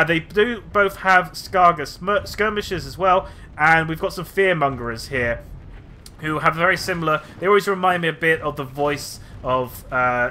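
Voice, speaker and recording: Man, game battle commentator, slightly nasal from a cold, fairly high voice, bright tone, lots of ums. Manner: unhurried, thinking aloud with many fillers.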